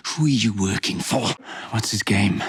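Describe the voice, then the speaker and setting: A man's voice: fairly low pitch, moderate pace, slightly rough, no echo, clean studio sound. A young man with an English accent playing a cocky, roguish Victorian gang leader in a video game, wry and sardonic. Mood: brisk, commanding. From England. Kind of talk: scripted game character lines.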